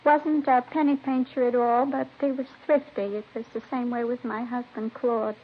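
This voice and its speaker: An actress playing a matronly, middle-aged widowed neighbor in a 1950s radio drama, rambling in a folksy, chatty way. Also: female voice, fairly high pitch, steady tone, normal speed, lightly acted. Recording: dull old radio recording with hiss.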